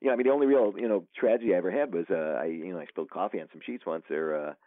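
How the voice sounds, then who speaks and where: A man's voice: deep voice, racing, dry recording. A man who is an author, chatting casually in an interview, rambling with many fillers and restarts. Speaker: author guest. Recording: dry, tinny phone line.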